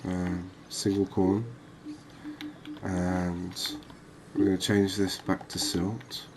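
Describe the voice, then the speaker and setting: A man doing soft-spoken ASMR game commentary in an unhurried, calming, reassuring manner. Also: low-pitched, a little hiss or hum. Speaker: host of a cozy gaming channel.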